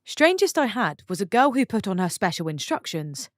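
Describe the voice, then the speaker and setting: A woman reading online stories aloud for her channel, stopping for chatty, expressive asides to her viewers. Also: mid-range voice, normal speed, no echo, clean studio sound. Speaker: story channel narrator.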